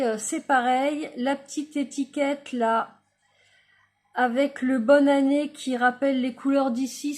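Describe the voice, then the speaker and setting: A woman talking casually through a card-making tutorial, chatty and hesitant, often trailing off with fillers as she works. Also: high-pitched. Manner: quiet, murmured while working on the craft. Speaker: crafting YouTuber.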